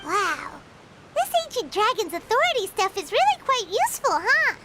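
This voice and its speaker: Tiny squeaky fairy sidekick, very high. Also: female voice, big pitch swings, moderate pace, heavy background noise. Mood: musing, impressed. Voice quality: squeaky, childlike. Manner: refers to self in third person, exclaiming, inquisitive. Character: tiny childlike floating fairy companion, chirpy, inquisitive, blunt. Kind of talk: video game character dialogue. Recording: game dialogue over loud music.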